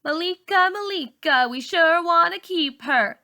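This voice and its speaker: A woman's voice: very high-pitched, animated, a little slow, voice pushed up, heavily acted. Woman putting on a cocky, taunting teenage schoolboy. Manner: sing-song mocking, loud. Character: taunting, cocky teenage boy bully and his crew.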